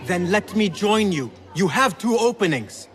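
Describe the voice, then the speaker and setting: Cocky young thief guy, highish voice, swings around a lot, eager, game music behind. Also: unhurried. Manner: eager, proud, expressive. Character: ambitious, cocky young street thief, male. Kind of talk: video game drama acting.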